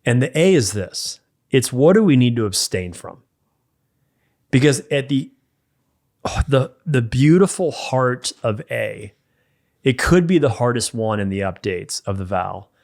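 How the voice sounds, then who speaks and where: A man's voice: mid-range voice, expressive, a little slow, gravelly, a little mellow. A man speaking as a men's mentor, giving practical faith-based advice in an emphatic, direct way. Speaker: men's mentor and podcast co-host. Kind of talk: faith-based coaching talk.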